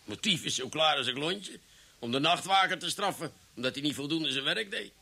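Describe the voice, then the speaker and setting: An actor in a Dutch radio drama playing a stubborn, superstitious police superior who blusters and stammers. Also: male voice, on the high side, lively intonation, brisk, gravelly, bright, forceful tone, slightly rough, full character voice. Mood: blustering, stubborn. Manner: blustery, stammering, repeats words.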